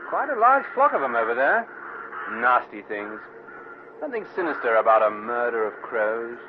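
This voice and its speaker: A man's voice: medium pitch, very animated, moderate pace, natural voice. An actor playing a musing, commanding male detective in a classic radio drama. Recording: tinny, noisy radio recording with crows.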